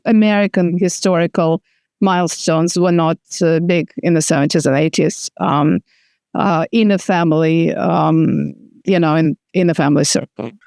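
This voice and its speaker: A woman's voice: on the low side, steady tone, normal speed, a little mellow, no room sound. Russian-accented middle-aged woman, dry sound. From Moscow, Russia. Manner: thoughtful, many fillers and restarts. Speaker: filmmaker guest. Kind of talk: conversational interview answers.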